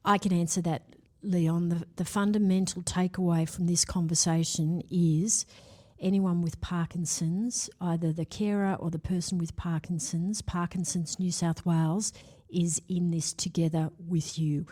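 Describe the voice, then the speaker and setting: A woman, a charity chief, answering podcast questions in a measured, self-assured way with small restarts. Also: lowish voice, warm tone. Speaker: CEO of a health charity, guest.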